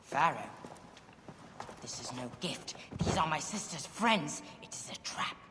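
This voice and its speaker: A man's voice: fairly high pitch, lively intonation, a little slow. A bratty young king, sulky threats, swingy voice, noisy echoey room. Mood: spiteful. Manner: sullen, threatening, spiteful. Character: petulant young boy-king, hostile.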